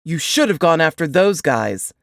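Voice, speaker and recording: A woman's voice: deep voice, very animated, moderate pace, creaky, dry recording, clean studio sound, exaggerated voice. A woman voicing a brawny young soldier, an insistent and earnest man protective of a baby, in an audiobook. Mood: urgent, defensive. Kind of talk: audiobook character dialogue.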